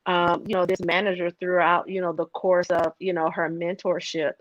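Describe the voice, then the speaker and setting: A woman guest with a soft voice, talking in a hesitant conversational way with many fillers and restarts. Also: lowish voice, flat delivery, normal speed, dry recording, muffled recording. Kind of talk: conversational interview.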